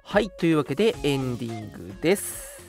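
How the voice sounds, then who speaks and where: A man's voice: medium pitch, big pitch swings, a little fast, gravelly, a little mellow, soft background music. A young man hosting a casual radio show, chatting politely with some self-deprecation. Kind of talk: casual radio chat and announcements.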